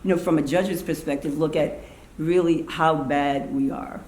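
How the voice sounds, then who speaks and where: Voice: medium pitch, fairly even intonation, moderate pace, darkish tone. An audience member giving an earnest opinion at a theater talkback, often restarting sentences while searching for words. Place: theater event space, indoors. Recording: echoey room, faint background noise.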